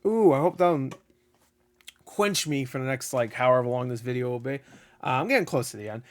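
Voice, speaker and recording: A man hosting his own gaming channel, giving a rambling, excitable review with frequent laughs and the odd swear, his voice getting a little raspy. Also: mid-range voice, fairly quick. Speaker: gaming video host. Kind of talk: casual unscripted review.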